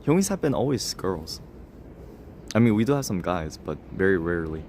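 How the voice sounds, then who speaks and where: A man's voice: sits low, big pitch swings, brisk, darkish tone, slight background noise. Laughing, self-mocking young man on stream. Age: 20s. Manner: laughs often, self-mocking, talks straight to chat. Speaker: livestreamer. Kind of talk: casual livestream chat reading comments.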